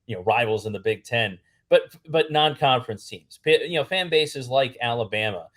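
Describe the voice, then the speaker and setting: A man's voice: medium pitch, animated, moderate pace, fairly bright tone. A man from Pennsylvania answering conversationally as an interview guest, earnest and fond of lists and repeated phrases. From Pennsylvania, United States. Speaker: public address announcer and podcast guest.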